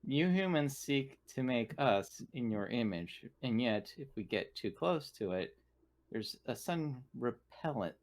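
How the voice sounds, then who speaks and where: A man playing a polite, formal android crew member in a sci-fi roleplaying game, speaking in a measured, detached way. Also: medium pitch, animated. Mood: musing.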